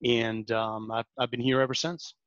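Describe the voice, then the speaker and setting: A man in his forties from Venezuela, a sales director talking as a podcast guest, halting often with ums and restarts. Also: mid-range voice, little pitch movement, a bit bright, no room sound, highs cut off. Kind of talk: interview conversation.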